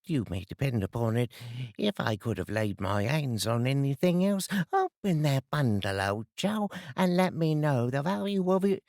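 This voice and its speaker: A man voicing a coarse, greedy charwoman in a storybook reading, high and scornful. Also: animated, moderate pace, gravelly, a little mellow, no echo, studio quality.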